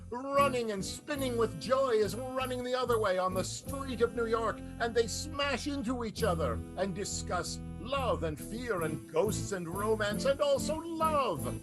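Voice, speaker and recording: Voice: medium pitch, rough recording. A narrator giving wry, improvised narration for a radio soap opera.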